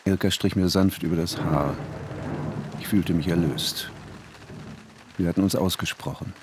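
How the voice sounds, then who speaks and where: Actor as anxious husband, noisy radio play. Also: male voice, sits low, close to an everyday voice. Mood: relieved, subdued. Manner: introspective, switches from measured narration to agitated pleading. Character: anxious married man, a scientist, narrating his own story. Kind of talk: radio drama narration and dialogue.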